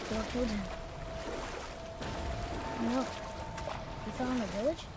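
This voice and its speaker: A woman's voice: a little high, steady tone, measured pace, loud music behind the voice, lightly acted. A performer voicing a curious, eager young boy in a video game, blunt and full of questions. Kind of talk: video game character acting. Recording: loud water and boat noise.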